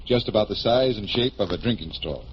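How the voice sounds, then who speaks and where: An actor playing a confident magician detective, explaining with assured showmanship in 1940s radio style. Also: male voice, lowish voice, animated, a little fast, natural voice. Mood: patient explaining. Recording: muffled, noisy vintage radio recording.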